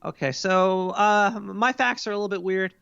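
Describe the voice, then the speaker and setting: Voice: medium pitch, steady tone, moderate pace, vocal fry, a bit bright, clear, resonant tone, no echo. A performer voicing a paranoid cartoon rat podcaster, chatting in a crude, rambling, jokey way on muffled audio. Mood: quirky, musing. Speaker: podcast guest. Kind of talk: casual improvised chat in character.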